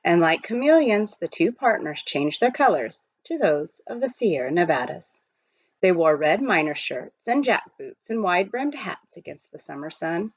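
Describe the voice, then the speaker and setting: Animated woman reading a story aloud, slightly dull. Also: fairly low pitch, moderate pace, slightly rough, no echo. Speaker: reader of a children's novel. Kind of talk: expressive read-aloud storytelling.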